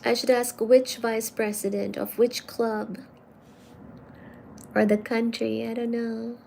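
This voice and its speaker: A woman's voice: fairly high pitch, flat delivery, slow, vocal fry, mellow, slight background noise, low-quality recording. A woman hosting a livestream, chatting casually and teasingly as she reads out viewer comments. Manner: playful and teasing, calls viewers darling. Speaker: livestream host.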